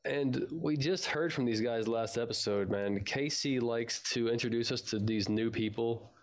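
A man's voice: mid-range voice, normal speed. A man hosting a fan podcast in a casual, informal and chatty way.

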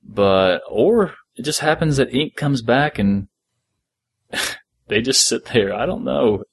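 A man's voice: medium pitch, animated, slow, creaky, husky, no echo. A man hosting a podcast, talking casually as a fan discussing a story. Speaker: podcast host.

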